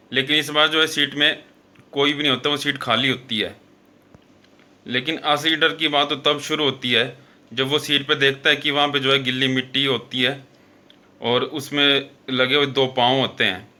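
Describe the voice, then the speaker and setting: A man's voice: medium pitch, fairly even intonation, moderate pace, bright, forceful tone. A man narrating a horror story as a show host, in an informal, conversational way with frequent fillers and self-corrections.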